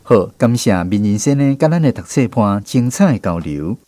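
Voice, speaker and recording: A man's voice: on the low side, sing-song, moderate pace, dark tone, faint music behind. A man with a Taiwanese accent hosting a radio programme in a scripted presenting style.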